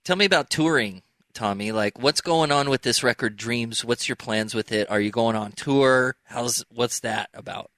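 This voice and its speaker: A man's voice: mid-range voice, normal speed, few pauses, fairly bright tone, no echo. A man co-hosting a casual interview in informal, conversational language. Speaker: interviewer, co-host.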